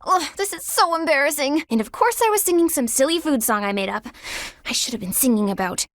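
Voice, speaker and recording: An actress playing an earnest, clumsy young woman student in a video game, excitable and easily flustered. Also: high voice, a little fast, no echo, clean studio sound. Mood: flustered, indignant. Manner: animated, easily flustered, hums silly made-up songs. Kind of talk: video game character dialogue.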